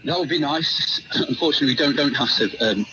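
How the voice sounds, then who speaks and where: A man's voice: a little high, lively intonation, a little fast. A man giving a radio news interview as a business guest, speaking hesitantly and repeating words. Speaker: haulage operations manager, interview guest. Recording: muffled shortwave radio with static.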